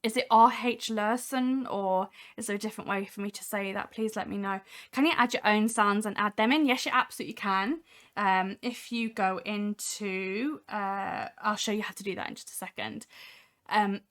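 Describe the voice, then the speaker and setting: A young woman hosting a casual software demo livestream, talking from home with a voice made husky by a cold, chatty with many ums. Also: medium pitch, moderate pace. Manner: chatty, many ums, reads chat aloud. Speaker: livestream host.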